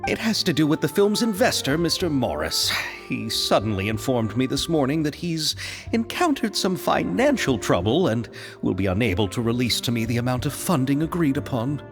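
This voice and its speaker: A jittery, gushing film director, voice leaping all over, cutscene reverb and music. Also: male voice, big pitch swings, normal speed. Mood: earnest. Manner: flustered, emotional, leaps high in pitch. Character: nervous, effusive male film director. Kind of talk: game dialogue.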